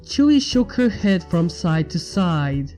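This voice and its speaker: A woman's voice: on the low side, sing-song, slow, warm tone, soft background music. A woman reading fan fiction aloud as scripted story narration.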